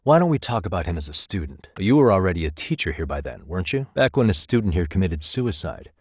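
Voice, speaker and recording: An actor playing a calm, wry private detective in his thirties in a video game, speaking in a measured, conversational way. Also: low voice, husky, no room sound, clean studio sound, full character voice. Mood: polite, insistent. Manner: measured, conversational, lightly sardonic. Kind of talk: naturalistic English video game voice acting.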